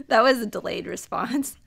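A woman's voice: fairly high pitch, expressive, a little slow, creaky, fairly bright tone, breathy edge. A woman hosting a podcast in casual chat, laughing often, her voice a little worn. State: slightly losing her voice. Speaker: podcast host.

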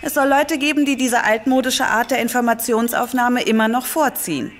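A woman's voice: on the high side, slight background noise, close to an everyday voice. An actress playing an impatient young female scientist in a radio play. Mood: teasing. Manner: brisk, placating then impatient.

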